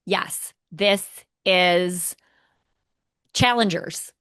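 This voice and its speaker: Lower-voiced woman podcaster, dry close sound. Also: extremely slow, fairly bright tone, clear, resonant tone, no room sound. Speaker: podcast co-host. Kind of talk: casual movie review discussion.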